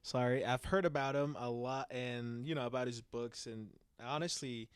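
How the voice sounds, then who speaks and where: A man's voice: medium pitch, steady tone, normal speed, clear, resonant tone, no room sound, studio quality. A young man from Peru, a podcast guest, chiming in with joking, enthusiastic remarks in casual chat.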